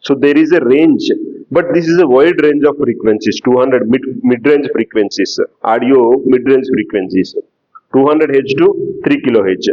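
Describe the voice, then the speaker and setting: A man giving a lecture in a slow, explanatory way that repeats key terms. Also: medium pitch, darkish tone, lo-fi sound. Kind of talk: classroom lecture.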